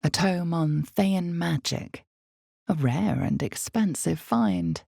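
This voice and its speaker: A woman voicing an ominous, all-knowing narrator of a dark fantasy video game, speaking in slow, deliberate, dramatic storytelling with a creaky low voice. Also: very animated, no room sound, clean studio sound, exaggerated voice. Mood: ominous, measured. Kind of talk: scripted second-person dark fantasy narration.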